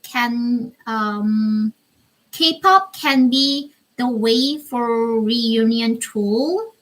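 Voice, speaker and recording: A young woman with a Korean accent hosts a casual live stream from a small bedroom, speaking into a laptop mic. Her voice sits a little high, and she talks slowly in English that is not her first language. She often pauses and restarts while she hunts for the right words. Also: pushed and bright, poor audio. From North Korea. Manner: halting, searches for English words. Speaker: livestream host. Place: small bedroom with string lights.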